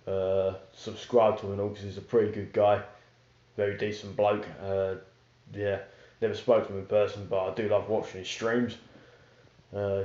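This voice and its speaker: A man's voice: lowish voice, warm tone. A young man chatting casually to his viewers in a rambling vlog, with many fillers, filmed on a phone at home. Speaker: YouTuber. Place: small room indoors, sitting on a sofa. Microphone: phone camera held close. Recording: roomy, dull lo-fi phone audio.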